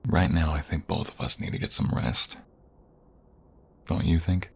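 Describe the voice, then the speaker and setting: Man acting as a very deep, gravelly, tender husband. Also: measured pace, full character voice. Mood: tender, calm. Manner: soft, intimate, reassuring, often dropping to a whisper. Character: protective, tender husband on the run from the mob. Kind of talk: ASMR roleplay acting.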